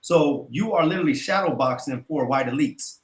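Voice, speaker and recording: Guy on a panel laying out his point calmly like a lecture, echoey room. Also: on the high side. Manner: measured, lecturing explanation. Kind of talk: heated panel debate.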